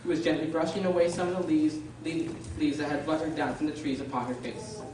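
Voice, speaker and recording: A woman's voice: sits low, a little fast, lightly acted. Very echoey storybook narrator, a woman. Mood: measured storytelling. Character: storybook narrator of a children's fantasy tale. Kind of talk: scripted storybook narration for radio drama. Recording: very echoey room, distant, faint noise.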